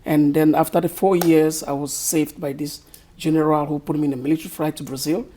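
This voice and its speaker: Husky Cameroonian man, slow and thoughtful. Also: fairly high pitch, flat delivery, mellow. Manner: slow, thoughtful, searching for words. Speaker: author guest. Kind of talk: interview answers.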